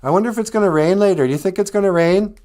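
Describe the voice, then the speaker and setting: A man's voice: high voice, racing, a little mellow, voice pushed up, lightly acted. A man voicing a chatty, oblivious wife who prattles on sweetly in a folktale told aloud. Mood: chirpy prattling. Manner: rattles on cheerfully. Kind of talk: oral folktale storytelling.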